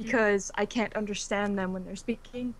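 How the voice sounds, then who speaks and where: Soft-spoken, easygoing woman. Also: mid-range voice, fairly even intonation, moderate pace. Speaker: panelist. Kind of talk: casual livestream chat.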